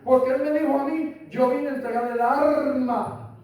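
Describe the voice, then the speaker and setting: Older Puerto Rican preacher, high and mellow. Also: male voice, fairly even intonation, normal speed. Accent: Puerto Rican Spanish. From Puerto Rico. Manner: emphatic, repeats phrases, asks listeners "¿entiendes?". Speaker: pastor. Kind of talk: sermon. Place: church hall. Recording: echoey hall, voice from far off, faint room noise.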